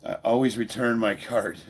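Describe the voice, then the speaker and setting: A man's voice: mid-range voice, vocal fry, a bit bright, husky. A man in his fifties with a stuffy nose, chatting informally as he hosts a training livestream. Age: 50s. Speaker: powerlifter and livestream host. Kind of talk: casual livestream chat while training. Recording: noisy room, ambient background sound.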